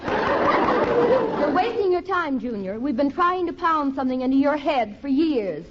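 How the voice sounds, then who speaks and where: High-voiced, exasperated scolding mother. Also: sing-song, unhurried, a loud crowd. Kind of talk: 1940s-50s radio comedy acting. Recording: noisy, dull old radio recording, audience behind.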